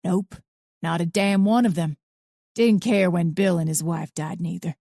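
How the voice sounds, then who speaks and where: A woman voicing a bitter, mean old man in his eighties who sneers and talks in rough country grammar. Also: on the low side, fairly even intonation, unhurried, warm tone, no room sound, studio quality.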